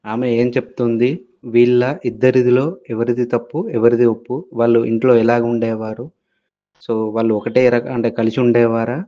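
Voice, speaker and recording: Male host for narrated intro to an audio clip; monotone delivery, slightly dull sound. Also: medium pitch. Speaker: channel host. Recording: dull, lo-fi voice recording.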